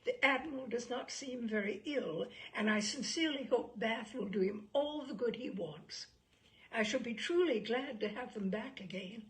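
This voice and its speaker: A woman reading a classic novel aloud, voicing a self-pitying, gossipy young married gentlewoman with an animated, complaining manner. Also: on the high side, normal speed, lightly acted, rough recording. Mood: gossipy, excited.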